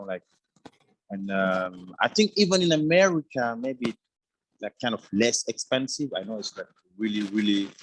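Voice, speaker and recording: A Swiss-raised man gives casual interview answers in French-accented English. His voice is on the low side and closely recorded with a dry sound. He talks haltingly, padding phrases with frequent tags. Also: no echo, rough recording. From Switzerland. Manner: halting, repeats "you know" constantly. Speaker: interview guest, businessman.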